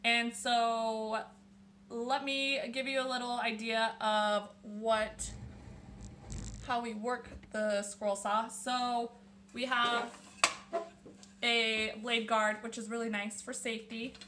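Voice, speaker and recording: A woman's voice: a little high, flat delivery, takes it slowly, pushed and bright, slight background noise. A young woman hosting a casual woodworking tutorial, chatting while she shows her tools in a small home workshop. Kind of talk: casual how-to demonstration. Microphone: camera on the bench, close.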